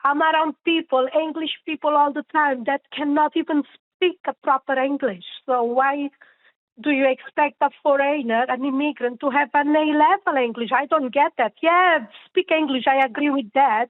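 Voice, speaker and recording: High-voiced woman on phone. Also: steady tone, normal speed, dry recording. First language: Portuguese. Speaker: caller. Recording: tinny phone line.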